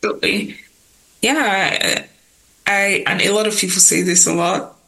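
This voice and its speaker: A woman's voice: mid-range voice, steady tone, normal speed, gravelly, pushed and bright, rough recording. A young woman who is a slam poet, reciting spoken word with rhythmic emphasis and then talking casually in an interview. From Nigerian family.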